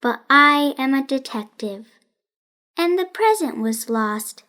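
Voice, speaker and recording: A woman narrating a children's storybook in a deliberate, carefully enunciated way. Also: high voice, animated, pushed and bright. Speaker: children's audiobook reader.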